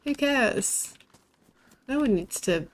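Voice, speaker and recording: A woman's voice: a little high, animated, takes it slowly. Sweary woman streamer with a lisp. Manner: blunt and sweary, laughs often. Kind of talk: casual chat while playing a shooter game.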